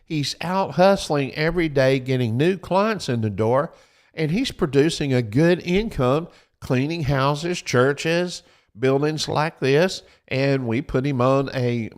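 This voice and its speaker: A man with a slightly raised voice talks to his viewers like a preacher at a pulpit. His delivery is folksy and repetitive, circling back to his points. He keeps telling people to write things down. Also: a little high. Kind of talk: preaching-style financial advice talk.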